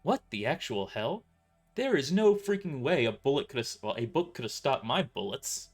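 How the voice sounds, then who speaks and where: A man's voice: mid-range voice, big pitch swings, moderate pace, faint music behind. A man voicing a tough, sardonic mercenary woman who is casual about violence, in an amateur audio drama. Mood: exasperated. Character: tough, sardonic young tomboy mercenary woman working as waitress.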